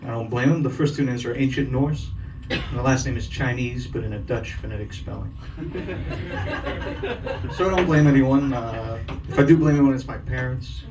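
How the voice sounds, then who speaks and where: A man doing stand-up in a slow, fumbling, self-mocking way. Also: medium pitch, expressive, crowd noise. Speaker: stand-up comedian. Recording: distant mic with loud music.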